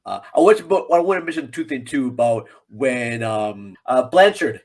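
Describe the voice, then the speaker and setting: A man's voice: mid-range voice, lively intonation, normal speed, a little mellow. A man chatting casually about films as a podcast guest, rambling and enthusiastic. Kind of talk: casual film discussion.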